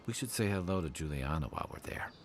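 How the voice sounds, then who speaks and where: An actor voicing a relaxed, wry companion in a fantasy game. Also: male voice, rumbling low, fast talker, a little hiss or hum. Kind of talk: game dialogue.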